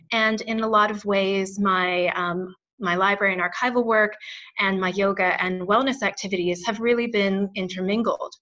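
A woman's voice: medium pitch, bright, forceful tone. An American woman presenting a webinar over a video call, friendly and earnest with many "um" fillers. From United States. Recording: dull lo-fi web call audio.

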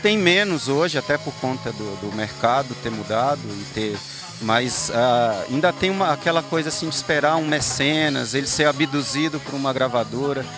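A man's voice: medium pitch, animated, normal speed. A man from Brasília, a bandleader, answering interview questions in a reflective way, often thinking aloud. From Brasília, Brazil. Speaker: musician, bandleader. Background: band rehearsal music.

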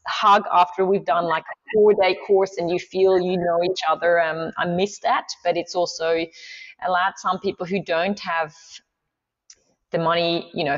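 A woman's voice: lowish voice, muffled recording. A middle-aged woman from Switzerland, a movement coach talking enthusiastically as a podcast guest and thinking aloud with many fillers. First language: Swiss German. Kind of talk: casual interview talk.

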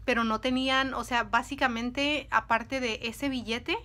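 Woman in her 30s telling a story to camera at home, bright voice, pitched a bit high, lots of hand waving. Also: unhurried. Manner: animated, talks with her hands, addresses viewers as friends. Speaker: storytime vlogger. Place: indoors at home, in front of a dresser. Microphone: camera at close range.

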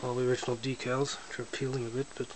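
A man casually showing off his snowmobile in a garage, talking in a relaxed, matter-of-fact way while filming with a handheld camera. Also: mid-range voice, rapid-fire. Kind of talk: casual walkaround narration. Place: indoors, a garage or shed with windows. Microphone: handheld camera held by the speaker. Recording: noisy handheld camera audio.

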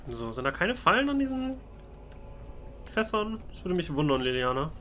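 A man's voice: fairly high pitch, big pitch swings, normal speed, fairly bright tone, slight background noise. A man giving playfully ironic, in-character commentary as a let's play host. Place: voice-over on game footage.

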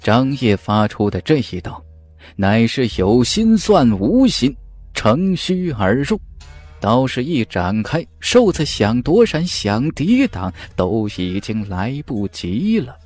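Man, audiobook narrator, lively swooping delivery, dramatic telling, faint music underneath. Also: medium pitch, takes it slowly. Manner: animated, dramatic storytelling. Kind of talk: dramatic fantasy novel narration.

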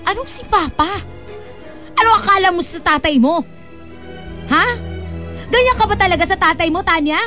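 A woman's voice: extremely high pitch, animated, normal speed, pauses often, bright, forceful tone, soft background music, exaggerated voice. An actress playing a long-suffering, submissive mother in a Filipino radio drama, pleading and flustered, with a Filipino accent. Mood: stern, upset.

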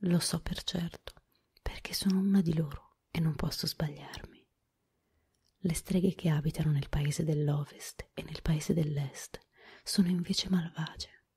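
A woman voicing a kind, calm little old witch in a whispered storybook reading. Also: sits low, unhurried, husky, heavily acted. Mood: gentle.

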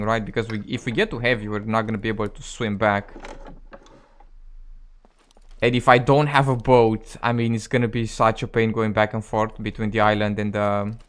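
A man with a tired, cracking voice giving casual, chatty commentary over his survival gameplay, joking at his own mistakes and talking to viewers. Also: on the low side. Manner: chatty, self-deprecating, talks to viewers. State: voice cracking, going hoarse. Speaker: gaming channel host.

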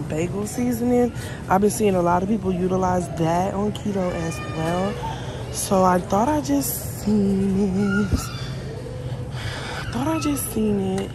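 A woman filming a casual shopping vlog in a supermarket, chatting and thinking aloud as she reads labels. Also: medium pitch. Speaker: vlogger. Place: supermarket aisles. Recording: noisy store background.

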